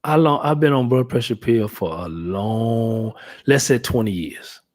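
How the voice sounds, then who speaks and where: A man's voice: medium pitch, lively intonation, a little slow, a little mellow. A man in his fifties hosting a casual chat, rambling with many restarts and fillers. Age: 50s. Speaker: podcast host.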